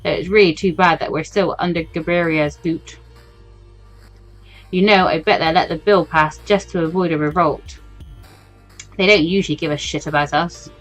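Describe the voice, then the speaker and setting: A woman doing a blunt, cynical man, pitched down. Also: steady tone, normal speed, pauses often, faint music behind, close to an everyday voice, low-quality recording. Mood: bitter, casual. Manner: casual, blunt, grumbling. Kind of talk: visual novel read-aloud.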